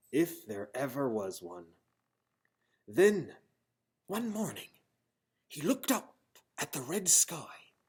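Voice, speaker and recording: A man reading a children's storybook aloud in a theatrical, expressive style. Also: fairly high pitch, slightly rough. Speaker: storybook reader. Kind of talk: dramatic children's storybook narration.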